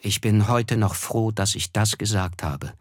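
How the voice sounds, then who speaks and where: An actor playing a reflective, melancholy narrator who looks back, telling a literary radio drama in measured, thoughtful phrases. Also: male voice, fairly low pitch, no echo.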